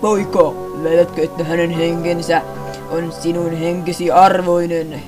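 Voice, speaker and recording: A big male dog, a hardened pack fighter acted by a woman in a fan-dubbed cartoon. The delivery is forceful, stern and threatening. Music plays behind it. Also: on the low side, flat delivery, lightly acted. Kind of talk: fan-dubbed anime acting.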